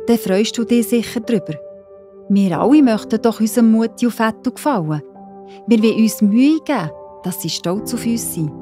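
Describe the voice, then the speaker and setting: A woman with a Swiss German accent narrating a children's Bible story, gently and encouragingly addressing young listeners. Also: on the high side. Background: background music.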